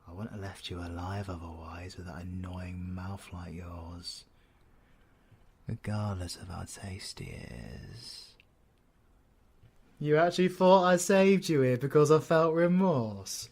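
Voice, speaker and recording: A man playing a British male supervillain in an audio roleplay, cocky and menacing. He talks slowly in a lowish voice, teasing and taunting with slangy curses and flirty endearments. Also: a little mellow, a little hiss or hum. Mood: gloating. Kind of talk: audio roleplay, villain ASMR acting.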